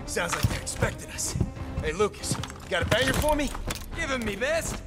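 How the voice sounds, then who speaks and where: Brash soldier, wildly varied pitch. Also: male voice, big pitch swings, normal speed, over loud music. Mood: low, steady. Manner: cheeky, rough, informal. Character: brash, wisecracking, foul-mouthed male Allied soldier. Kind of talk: video game cutscene acting.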